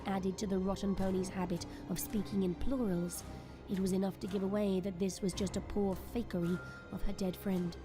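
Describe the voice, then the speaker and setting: A woman narrates a dramatic scripted story. Her pitch sits in the middle range for a woman. Music plays clearly behind her as she reads. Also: level tone, brisk.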